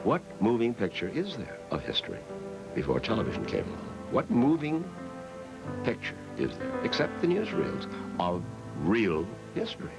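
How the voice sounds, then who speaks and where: An older American man reminisces in an interview. His voice is very low but swings widely as he stresses his points, with music behind him. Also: huge pitch swings, normal speed, gravelly, rough recording. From Massachusetts, United States. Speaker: interviewee recalling the past.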